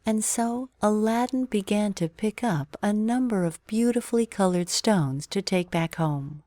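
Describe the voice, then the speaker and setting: A woman reading a children's bedtime story as an expressive storyteller. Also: medium pitch, measured pace, dry recording.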